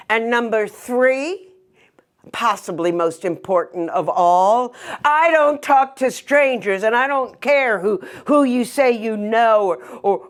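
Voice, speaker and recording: A woman's voice: a little high, voice pushed up. A woman voicing a plucky, outspoken little girl in a storybook tale, emphatic and exclaiming, stretching out her words.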